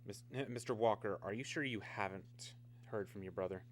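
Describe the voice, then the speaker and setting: An actor playing a stern, skeptical army major in a mid-century radio drama, speaking formally and insistently over an old humming recording. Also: male voice, lowish voice, fairly quick, slight background noise, close to an everyday voice. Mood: insistent, probing.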